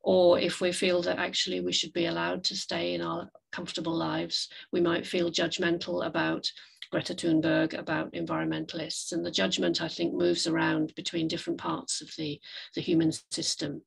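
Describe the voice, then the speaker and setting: Low, very creaky-voiced woman presenting. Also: monotone, poor audio. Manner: hesitant, reflective, measured phrasing. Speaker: guest speaker, climate café facilitator. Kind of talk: slide presentation talk in an online webinar. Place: indoors, on a video call. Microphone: video call microphone.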